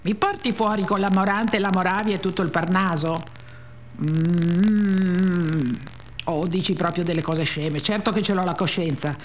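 A woman's voice: lowish voice, fairly even intonation, measured pace, slight background noise, natural voice. A woman playing a vain, brash young starlet in a radio reading, provocative, bossy and dismissive in manner. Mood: impatient, dismissive.

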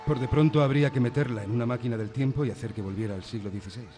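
A man's voice: mid-range voice, rapid-fire, close to an everyday voice. A man playing a reserved, sceptical priest in a radio drama, with a Castilian accent and a matter-of-fact manner. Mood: sceptical, firm. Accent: Castilian Spanish. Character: sceptical, reserved male Jesuit priest and psychiatrist. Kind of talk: Spanish radio drama acting.

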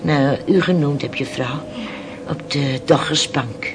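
A middle-aged fishing village widow in an old radio drama, speaking slowly and haltingly. She is resigned and grave, often sinking to a hush, on a clearly noisy old recording. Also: sits low. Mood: sorrowful, hushed. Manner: slow, halting storytelling, dropping to hushed tones. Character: weathered, resigned fisherman's widow recounting losses to the sea. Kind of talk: Dutch radio play acting.

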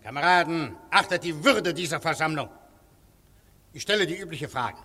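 A man's voice: fairly high pitch, animated, moderate pace, pushed and bright, breathy edge, in a large hall, exaggerated voice. An actor playing the formal, commanding chairman of an anarchist council in a radio play.

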